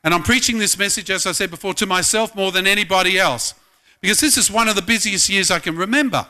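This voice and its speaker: High, bright-voiced pastor preaching. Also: male voice, fast. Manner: conversational, asks the congregation many questions. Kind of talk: sermon.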